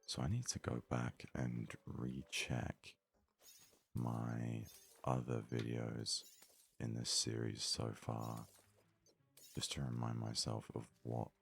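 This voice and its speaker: A man giving soft-spoken, casual ASMR commentary while playing a video game, muttering in a hushed voice close to the mic. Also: very deep voice, completely flat delivery, takes it slowly, vocal fry, long pauses, soft background music. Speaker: gaming video host.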